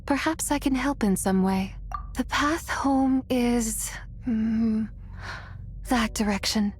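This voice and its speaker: Slow, wistful ancient spirit. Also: female voice, a little high, slight background noise. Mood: wistful, serene. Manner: wistful, unhurried, formal. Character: ancient, serene, forgetful female adeptus spirit. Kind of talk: video game acting.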